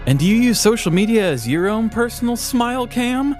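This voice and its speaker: A man's voice: very high voice, big pitch swings, a little fast, darkish tone, soft background music. A man co-hosting a comedy podcast with casual, wry, playful banter, speaking close to the microphone. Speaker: podcast co-host.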